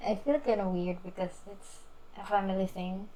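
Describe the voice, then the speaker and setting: A woman streaming a game, giving casual, halting reactions as she thinks aloud. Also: mid-range voice, dark tone, a little hiss or hum, poor audio. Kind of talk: casual reaction commentary over gameplay.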